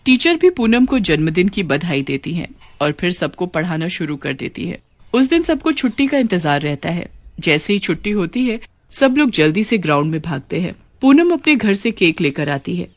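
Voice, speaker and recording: A woman narrating a scripted cartoon horror story in an even, storybook manner. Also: fairly low pitch, dry recording.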